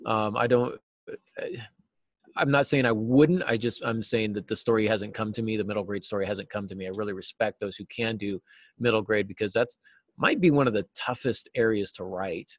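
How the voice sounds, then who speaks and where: A man's voice: fairly low pitch, normal speed, no room sound. A middle-aged American man talking casually and thoughtfully as a guest in an interview. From United States. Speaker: interview guest, novelist and teacher. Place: small cluttered office, indoors. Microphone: video call.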